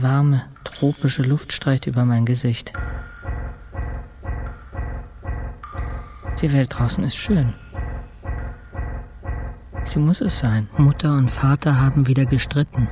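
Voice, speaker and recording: A man acting as a haunted male patient in a literary radio drama, recounting his ordeal. His delivery is very unhurried and fragmentary, in a soft, dark tone, with music underneath. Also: medium pitch, natural voice. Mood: quiet wonder. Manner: measured, terse, fragmentary phrasing. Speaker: actor narrating a radio play in first person.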